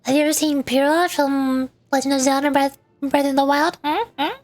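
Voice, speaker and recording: A woman chatting casually and playfully on a gaming livestream, with animated delivery. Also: high-pitched, moderate pace. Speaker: VTuber streamer, host. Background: background music.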